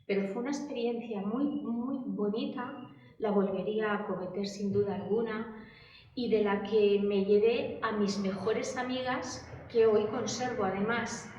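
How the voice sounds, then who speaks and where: A woman's voice: mid-range voice, fairly even intonation, a little slow, darkish tone. Distant, echoey middle-aged woman. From Madrid, Spain. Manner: hesitant, many fillers and restarts. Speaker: interviewee. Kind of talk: oral history interview. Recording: echoey room, distant mic, dull sound.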